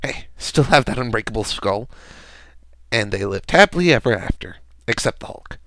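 A man's voice: fairly low pitch, lively intonation, moderate pace, gravelly, a bit bright, no echo. A man voicing a cocky, irreverent mercenary antihero with a sarcastic, playful, taunting manner, as in a character voice demo. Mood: mocking. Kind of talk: amateur character voice acting demo.

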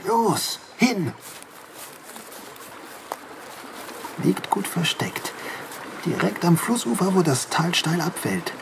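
A man's voice: sits high, sing-song, unhurried, creaky, exaggerated voice. An actor playing an uneasy workingman in a horror audio drama, speaking in a tense, dramatic way. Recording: noticeable background noise.

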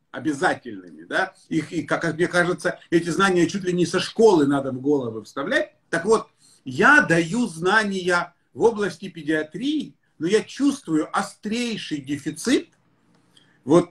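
A man in his sixties, a pediatrician hosting a live stream from his home study, talking in a warm, effusive, enthusiastic way. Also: high voice. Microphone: webcam or phone on a video call.

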